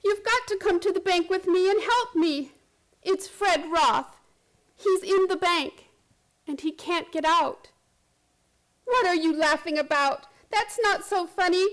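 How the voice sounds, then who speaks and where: An actress playing an earnest, distraught schoolteacher in a radio drama, with a pleading, confiding intensity. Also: female voice, very high-pitched, a little slow, a bit bright, poor audio. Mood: desperate, pleading. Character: earnest, distraught female high school physics teacher pleading for help. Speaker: narrator and lead character of radio play.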